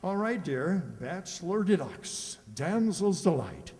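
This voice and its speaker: A man performs a breezy, boastful bachelor from a vintage radio comedy. His voice is soft-edged and a little high, with very lively intonation as he teases. A long hall reverb surrounds it. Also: takes it slowly. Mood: breezy, smitten. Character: boastful, girl-crazy bachelor pal, breezy and teasing. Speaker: amateur radio player. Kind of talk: old-time radio comedy acting.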